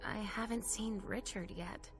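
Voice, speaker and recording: An uncertain, obedient vampire seer acted by a woman for a video game, tentative in manner and middle in pitch. Faint music sits behind. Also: fairly even intonation, unhurried, natural voice. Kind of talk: dramatic video game cutscene acting.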